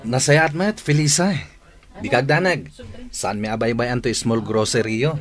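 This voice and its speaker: A man's voice: medium pitch, moderate pace, faint music behind, lightly acted. A man playing a respectful, reassuring young nephew in an audio drama. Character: loyal young male helper, respectful, reassuring.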